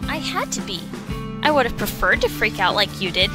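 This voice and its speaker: A woman playing a teasing, dependable teenage schoolgirl in an audio drama, expressive in delivery. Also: medium pitch, brisk, over loud music, lightly acted. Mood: caring.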